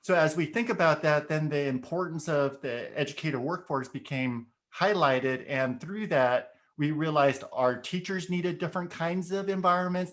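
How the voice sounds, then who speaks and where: A man's voice: fairly high pitch, a bit bright. A middle-aged man whose first language is Korean, explaining ideas in a casual discussion and checking in with "right?" as he goes. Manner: thoughtful, explains with examples, frequent "right?". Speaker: education podcast guest.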